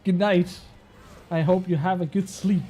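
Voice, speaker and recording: A man's voice: high-pitched, steady tone, moderate pace, warm tone. A young man from Switzerland, a native Swiss German speaker, chatting casually with his viewers while he plays a game. He rambles, mocks himself, swears casually and laughs a lot. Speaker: game streamer. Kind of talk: casual live-stream chat while gaming.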